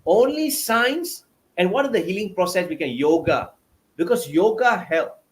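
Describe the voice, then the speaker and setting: A man's voice: sits high, expressive, moderate pace. A man with a Malaysian accent, a guest author giving passionate, emphatic interview answers over a video call. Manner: passionate, emphatic, repetitive phrasing.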